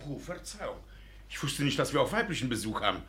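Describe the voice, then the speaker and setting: An actor playing a jovial, fussy, middle-aged landowner father in a comic radio play, excitable and indulgent. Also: medium pitch, quick pace, a crowd in the background, natural voice. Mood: flustered, amused. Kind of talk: German radio play comedy acting.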